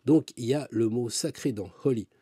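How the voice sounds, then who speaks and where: A man's voice: mid-range voice, moderate pace, warm tone, slightly rough, no echo, clean studio sound. A man talking about astrology in a casual, rambling way, with many fillers and asides. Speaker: astrologer and tarot reader. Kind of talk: casual spoken commentary.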